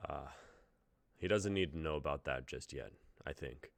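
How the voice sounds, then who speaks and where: A man playing a calm, secretive mentor. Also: extremely low pitch, vocal fry, no room sound. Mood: evasive. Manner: calm, measured, evasive.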